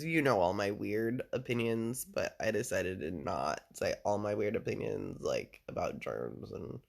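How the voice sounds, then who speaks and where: Deep gravelly guy rambling on, lots of likes and ums, swears casually, voice bounces around a lot. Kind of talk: casual personal chat with a listener.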